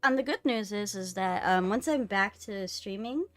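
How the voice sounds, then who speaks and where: A woman streaming a game with a friend in casual, playful banter. Her pitch moves around a lot, giving her an animated, teasing delivery. Faint music plays under her voice. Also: moderate pace. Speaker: streamer hosting a co-op visual novel playthrough.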